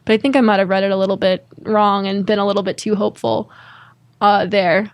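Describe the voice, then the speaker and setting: A woman's voice: medium pitch, fairly even intonation, a little fast, dry recording. A young woman from Connecticut in her twenties, a guest on an interview show, answering in an earnest, upbeat way with frequent ums and restarts. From Connecticut, United States. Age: 20s. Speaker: guest, former college swimmer and advocate.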